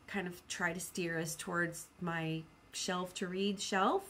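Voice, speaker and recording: A woman's voice: on the low side, steady tone, slow pace, fairly bright tone. A woman talking casually to her viewers as a vlog host, rambling and thinking aloud as she goes. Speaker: host of a book channel.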